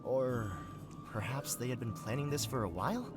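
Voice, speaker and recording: An actor playing a pompous, theatrical would-be novelist in a video game, with florid, melodramatic delivery. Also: male voice, mid-range voice, normal speed, close to an everyday voice. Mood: grandiose. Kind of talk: video game character dialogue. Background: game music.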